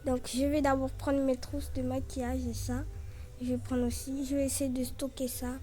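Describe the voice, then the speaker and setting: A woman's voice: high-pitched, little pitch movement, normal speed, dark tone. A young woman with a French accent giving a casual room tour, chatty and filling her talk with "genre" and "voilà". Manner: chatty, filler-heavy with frequent "genre" and "voilà". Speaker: vlogger.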